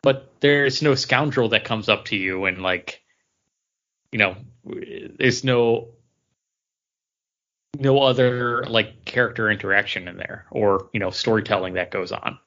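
Male podcast co-host, lowish bright voice, halting conversational delivery, slightly dull recording. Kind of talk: casual chat about theme park attractions.

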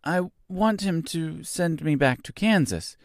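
Guy doing a shy kind little girl in a story, high soft voice, swoopy pitch, very dry. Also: expressive, measured pace, dry recording. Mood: earnest, friendly. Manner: timid, soft, gentle. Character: timid, kind, softly spoken young girl. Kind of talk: storybook character voice.

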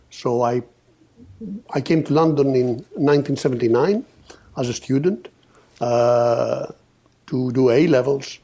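Man, 50s, from Greece, podcast guest, husky, mellow, hesitant storyteller. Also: mid-range voice. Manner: hesitant, many uhs and restarts. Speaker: podcast guest, company founder. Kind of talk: casual storytelling interview.

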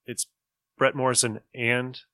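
A man co-hosting a podcast, chatting casually about old radio and retelling bits in an animated way. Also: medium pitch, measured pace, smooth, dry recording, clean studio sound. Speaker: podcast co-host.

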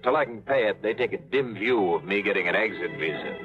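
An actor playing a tough, hard-drinking pilot turned tour guide, narrating and talking in clipped, dry, hard-boiled lines in a 1950s radio drama. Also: male voice, fairly low pitch, lively intonation, quick pace, natural voice. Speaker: narrator and lead. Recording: lo-fi old radio broadcast with music.

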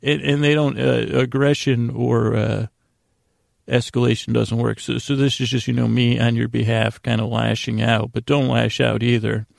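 Male bedtime podcast host, heavy vocal fry, halting and self-deprecating, wandering monologue, close dry sound. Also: mid-range voice, little pitch movement, dry recording. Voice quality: gravelly, creaky. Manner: meandering, halting, stammering with repeated words.

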